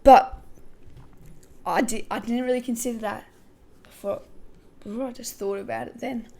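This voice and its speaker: A woman hosting a casual football podcast, her voice croaky, thinking aloud with many hesitations. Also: fairly high pitch, fairly even intonation, normal speed, mellow, slight background noise. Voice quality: gravelly. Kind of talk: casual pre-match football talk.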